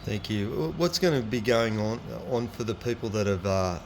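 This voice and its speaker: A man's voice: lowish voice, lively intonation, moderate pace, noisy background. A man with an Australian accent hosting at church, putting unscripted questions to a guest in a hesitant, searching way. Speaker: church host interviewing a guest pastor. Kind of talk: unscripted live interview questions.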